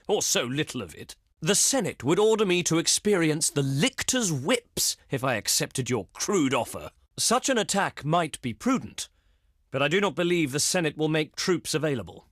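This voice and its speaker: An actor playing a haughty, contemptuous ancient Roman diplomat in a strategy game, pompous and sneering, with formal oratorical delivery. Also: male voice, fairly high pitch, a bit bright, no room sound, clean studio sound, full character voice. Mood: disdainful, then cool, dismissive. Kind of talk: theatrical scripted game voice acting.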